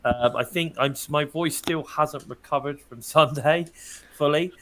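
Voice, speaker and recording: A British man co-hosting a casual wrestling podcast, talking in a chatty way with a slightly croaky, hoarse voice. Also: a little high, measured pace, fairly bright tone. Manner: chatty, leads the discussion and hands over to others. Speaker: podcast co-host.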